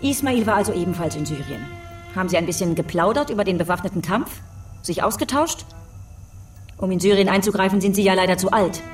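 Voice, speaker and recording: An actress playing a stern, sarcastic interrogator in a radio drama, speaking in a sharp, accusatory way. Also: female voice, mid-range voice, animated, brisk, distant mic, soft background music, natural voice.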